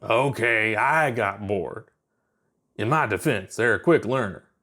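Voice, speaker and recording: Audio story role: seasoned, easygoing space flight instructor acted by a man, gruff, folksy, casual drawl. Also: lowish voice, slow pace, sharp tone, heavily acted. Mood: sheepish.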